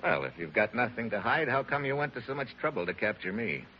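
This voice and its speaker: A man's voice: medium pitch, very animated, fast, lightly acted. A man playing a wry, tough, unflappable American secret agent with an American accent and wisecracking, slangy manner in a 1940s radio drama. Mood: wry, cool. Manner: hard-boiled, slangy, wisecracking. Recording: dull, noisy old radio recording.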